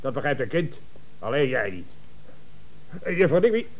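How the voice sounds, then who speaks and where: An actor playing a self-important, domineering company boss who scolds and orders in a 1970s radio comedy. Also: male voice, mid-range voice, big pitch swings, moderate pace, natural voice. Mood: scolding, exasperated. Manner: pompous, domineering, barking orders.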